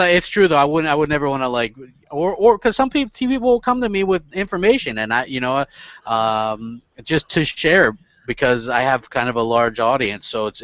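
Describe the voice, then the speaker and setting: A man's voice: mid-range voice, fairly bright tone, no room sound, dull audio. A man hosting a casual gaming podcast, rambling with lots of fillers and restarts and casual swearing. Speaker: podcast host.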